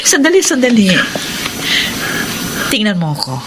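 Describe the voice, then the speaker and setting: A woman's voice: mid-range voice, big pitch swings, unhurried, background noise. An actress playing a flirtatious female employer in a radio drama, coy and teasing as she pursues her worker. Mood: seductive. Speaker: employer of the hero. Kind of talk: classic radio drama acting.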